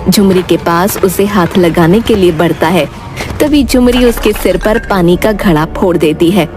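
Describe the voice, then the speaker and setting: A woman's voice: on the low side, unhurried, over music. A woman narrator reading a scripted children's moral story.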